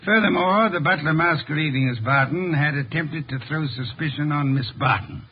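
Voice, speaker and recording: An actor playing an eccentric, pompous middle-aged detective in a 1950s radio drama, speaking in precise, formal diction. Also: male voice, a little high, moderate pace, breathy edge. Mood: explaining, assured. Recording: muffled vintage 1950s radio recording.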